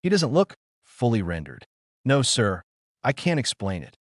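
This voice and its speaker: A man voicing an uneasy gentleman in an audiobook, with a halting, emphatic manner full of distaste. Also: medium pitch, huge pitch swings, dry recording, studio quality. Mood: disgusted, baffled. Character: uneasy gentleman struggling to describe a repellent man.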